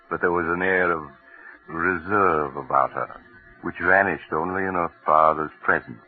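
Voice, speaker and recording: A deep, grave storyteller narrates old-time radio suspense with solemn, measured delivery. The sound is tinny, with faint music underneath. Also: male voice. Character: grave male storyteller of a domestic suspense tale. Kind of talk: scripted narration, mid-century radio drama.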